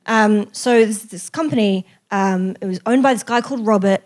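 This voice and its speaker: A woman telling an anecdote to an audience in a casual, animated, self-deprecating way. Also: mid-range voice, normal speed. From raised in Australia. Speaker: guest journalist and author.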